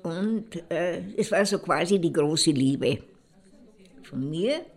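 A woman's voice: lowish voice, lively intonation, slow pace. A woman playing an older, jilted woman in an audio drama, rambling in a wistful, resigned way.

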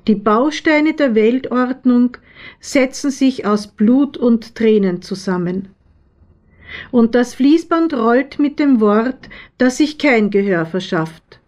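A woman reading her own poems in a measured, deliberate way with pauses between phrases. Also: on the high side, darkish tone. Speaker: poet, author reading own work. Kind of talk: literary poetry reading.

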